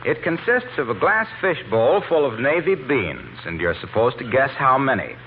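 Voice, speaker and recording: Tinny old-radio male announcer, lively pitch. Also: moderate pace, slight background noise. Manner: polished, persuasive. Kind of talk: 1940s scripted announcing and sponsor pitch. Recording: thin, band-limited vintage radio recording.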